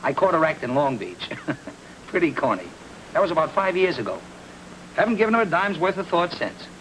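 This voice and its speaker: A slangy old-time lunch counter proprietor, breezy yet cagey. His voice is fairly high with very lively pitch, like a showman's patter. The vintage soundtrack carries faint noise. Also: moderate pace, low-quality recording. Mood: offhand, guarded. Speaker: actor playing a lunch counter owner. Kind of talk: 1940s film noir acting.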